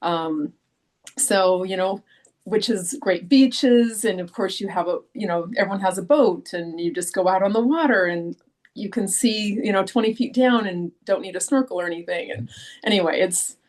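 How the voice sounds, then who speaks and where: A woman's voice: mid-range voice, moderate pace, darkish tone. A middle-aged woman chats casually as a podcast guest. She talks in loose, rambling runs, full of ums and self-corrections, and laughs easily. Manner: chatty, frequent ums and restarts, laughs easily. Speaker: author guest. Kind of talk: casual interview chat.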